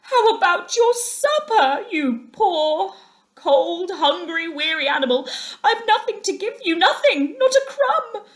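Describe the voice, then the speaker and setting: A storybook mole, acted out by a woman, miserable and full of self-reproach. The little animal wails in a very high voice, drawing out each moan dolefully. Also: big pitch swings, unhurried, roomy sound, heavily acted. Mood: moaning despair. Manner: moaning, dismal wailing.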